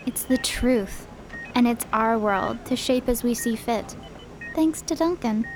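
Girl acting scheming student rebel, highish voice, smug and rousing, noisy background, radio play. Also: measured pace. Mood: triumphant. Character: scheming, triumphant young female student rebel. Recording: clear background noise.

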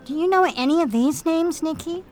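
A woman's voice: very high voice, a little fast, a little mellow. A woman playing an eager, friendly young female investigator in an audio drama, perky and emphatic. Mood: urgent.

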